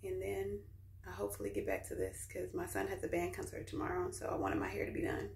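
A woman's voice: lowish voice, sing-song, fast, vocal fry, darkish tone, echoey room. A woman in her forties chatting casually to her viewers as she films a cook-with-me vlog at home, laughing often. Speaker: vlogger. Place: home bathroom, then kitchen. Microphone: phone camera at arm's length.

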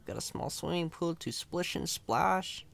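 A man's voice: on the high side, unhurried, vocal fry, fairly bright tone. A man playing a chatty, cocky, friendly company employee in an ASMR roleplay, casual, reassuring and playfully boastful. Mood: easygoing, friendly.

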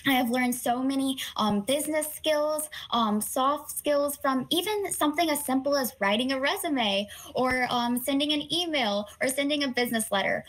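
A young woman whose first language is Korean answers news interview questions earnestly, with frequent pauses and fillers. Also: high-pitched, fairly bright tone, poor audio. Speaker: student organization president, guest.

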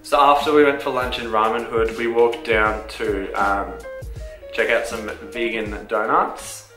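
A young man co-hosting a casual vlog, speaking in a relaxed, eager way. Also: medium pitch, a little slow, reverberant, soft background music, rough recording. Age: young adult. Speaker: vlog co-host. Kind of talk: casual chat. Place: bright indoor room, seated.

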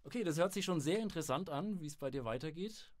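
A young adult man hosting a podcast, asking a fellow student relaxed, friendly questions. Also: on the high side, quick pace. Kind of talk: casual podcast interview. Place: studio at a music academy.

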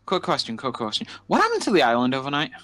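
A man's voice: medium pitch, wildly swooping pitch, fast, fairly bright tone, faint music behind. Indignant stammering rich boy played by a man. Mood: bemused, dry. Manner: stammering, repetitive, dramatic. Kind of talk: improvised hobby Minecraft roleplay.

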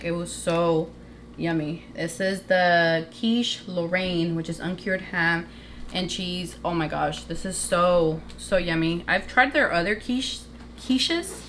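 A young woman with a slightly low voice talks casually through her groceries, filling pauses with likes and ums. She is recorded on a camera in her home kitchen, with faint noise underneath. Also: a bit bright. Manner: chatty, many likes and ums, holds up items to camera. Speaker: vlogger. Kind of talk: casual grocery haul show-and-tell.

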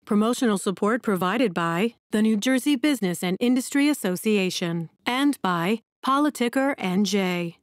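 A woman's voice: mid-range voice, lively intonation, moderate pace, few pauses, no echo. A woman announcer reading scripted sponsor credits as a studio voice-over.

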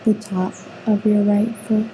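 Slow, dark-voiced woman guiding meditation. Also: medium pitch, level tone, slight background noise, rough recording. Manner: soothing, unhurried instruction with long pauses. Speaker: meditation guide. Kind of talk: guided sleep meditation.

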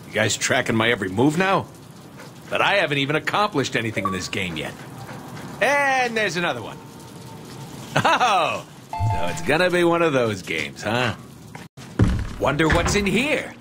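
An actor playing a cocky, foul-mouthed antihero mercenary in a video game, brash and sarcastic. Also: male voice, mid-range voice, wildly swooping pitch, normal speed, pauses often. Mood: wry, playful. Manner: brash, sarcastic, exaggerated swooping delivery. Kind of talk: video game cutscene acting. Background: music.

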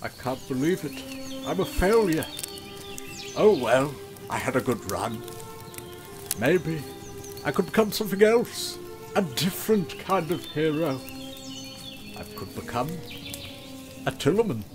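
Casting: male actor as pompous comic fantasy knight for audio drama, animated high voice, music underneath. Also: measured pace, pauses often. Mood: dejected. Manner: pompous, melodramatic, talking to himself. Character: pompous, hapless male knight, self-important and easily dejected.

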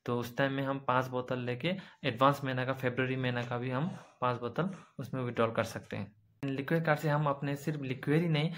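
A young man casually explaining canteen card benefits to his viewers, with frequent filler pauses, recorded on a phone camera at arm's length indoors. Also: mid-range voice, a bit bright. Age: young adult. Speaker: vlogger explaining army canteen cards. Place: indoor room with bright windows behind.

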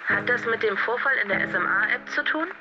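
A woman's voice: on the high side, moderate pace. A woman playing a chatty, concerned mother on a phone call in an audio drama, casually probing. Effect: phone call filter. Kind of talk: scripted audio drama dialogue. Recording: dull phone-call sound over music. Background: clear background music.

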